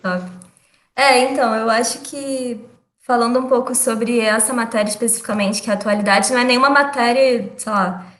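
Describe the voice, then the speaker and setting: A young woman teaching an online class in a relaxed way, full of fillers and laughs. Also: a little high, fairly quick, roomy sound. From Rio de Janeiro, Brazil. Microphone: video call.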